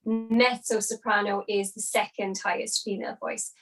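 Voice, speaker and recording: A woman's voice: mid-range voice, flat delivery, moderate pace, soft background music, poor audio. A young woman, an opera singer, chatting as a podcast guest and explaining things with lots of hedging fillers. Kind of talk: casual interview chat.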